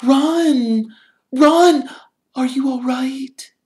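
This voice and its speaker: A man reading an audiobook, voicing an earnest, clever schoolgirl in a high, sharp, shrill voice. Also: takes it slowly. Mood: alarmed.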